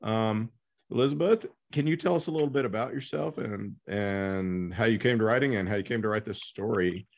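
A man's voice: on the low side, fairly quick, vocal fry. A man hosting a podcast, moving between scripted announcements and casual interview talk. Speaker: podcast host.

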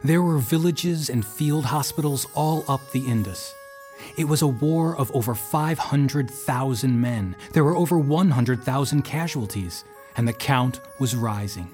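A man narrating an audio drama with a measured, dramatic delivery. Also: medium pitch, darkish tone, faint music behind, lightly acted.

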